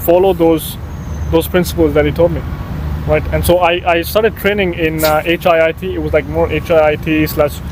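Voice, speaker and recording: Young man of twenty in his own voice, light and a bit high, easygoing, with unhurried talk and plenty of "you know" and "um", while traffic and street noise carry clearly underneath. A casual podcast guest telling his story. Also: level tone. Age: 20s. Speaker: podcast guest, medical student.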